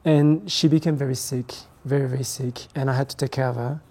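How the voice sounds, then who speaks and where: Soft-toned young man. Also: medium pitch, fairly even intonation. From Ethiopia, raised in France. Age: 20s. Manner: reflective, hesitant, halting phrasing. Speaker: guest. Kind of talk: conversational interview.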